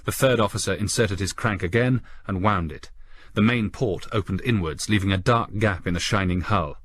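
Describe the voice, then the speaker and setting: A man reading a suspenseful story as an audiobook narrator, in a measured, grave, dramatic storytelling style. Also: deep voice, a bit bright.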